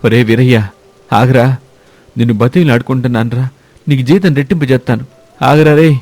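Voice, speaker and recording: A man acts out a proud, well-off village elder brother in a cartoon moral tale. His mid-pitched voice is full of anguish and pleading. The speech is rustic and openly emotional. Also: steady tone, brisk, darkish tone, natural voice. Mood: desperate pleading. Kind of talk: dramatic moral-story cartoon voice acting.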